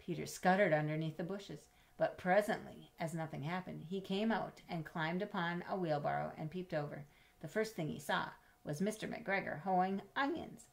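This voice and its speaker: Low-voiced woman reading a children's story. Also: vocal fry. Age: middle-aged. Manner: expressive, measured, speaking to young listeners. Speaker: storybook reader. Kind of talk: children's read-aloud with chatty asides. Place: indoors in a home room with bookshelves.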